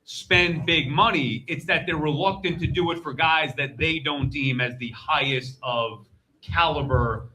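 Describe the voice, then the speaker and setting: Male live sports-panel host. Forceful, sharp tone on the higher side, blunt and insistent delivery. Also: a little slow, rough recording. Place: live on stage at a fan convention.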